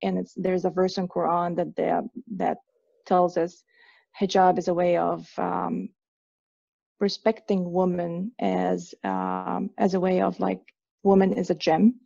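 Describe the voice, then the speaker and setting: A woman from Egypt in her thirties talks haltingly, full of fillers and restarts. Her voice is heavily gravelly and mellow. The recording is dry and dull. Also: on the low side, level tone, no echo. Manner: hesitant, many fillers and restarts. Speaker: guest. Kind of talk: conversational interview.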